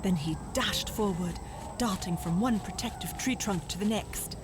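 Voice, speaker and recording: A woman reading scripted literary narration for a children's audio drama in a measured, dramatic, descriptive way. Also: medium pitch, noisy background.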